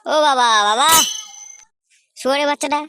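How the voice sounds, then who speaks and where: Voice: high voice, level tone, normal speed, sharp tone, a little hiss or hum. A performer voicing a cheeky, whining, foul-mouthed grown son in a comedy cartoon, brash and complaining. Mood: pleading, cheeky.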